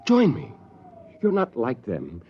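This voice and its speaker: A bitter renegade astronaut. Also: male voice, medium pitch, huge pitch swings, slow pace, slightly rough, exaggerated voice. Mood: brooding. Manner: brooding, bitter, intense. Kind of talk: 1950s radio drama acting. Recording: dull vintage radio broadcast.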